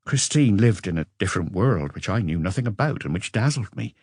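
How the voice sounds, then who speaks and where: A man voicing an educated Frenchman who looks back on a passionate marriage with regret, in a measured, confessional audiobook reading. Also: lowish voice, lively intonation, a little mellow, breathy edge, dry recording, clean studio sound, voice pushed up. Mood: reflective, candid. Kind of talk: audiobook character dialogue.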